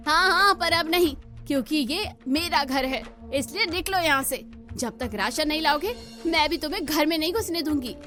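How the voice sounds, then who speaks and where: A woman's voice: sits high, moderate pace, sharp tone, faint music behind, voice pushed up. A woman voicing a stubborn village wife in a cartoon story, with a scolding, insistent manner. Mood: angry, scolding.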